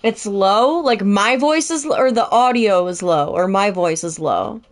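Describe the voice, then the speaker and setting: Young woman streamer, casual and swear-happy, mid pitch, home setup. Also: animated, a little slow. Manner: chatty, swearing, laughing asides. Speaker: streamer playing a game. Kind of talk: casual live-stream chat. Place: home, indoors.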